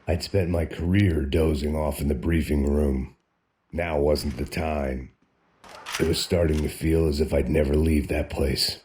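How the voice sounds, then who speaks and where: Very deep, world-weary American antihero. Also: male voice, slight background noise. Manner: world-weary, brooding, deadpan. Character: weary, bitter, self-loathing American ex-cop antihero. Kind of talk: scripted noir voice-over narration and game dialogue.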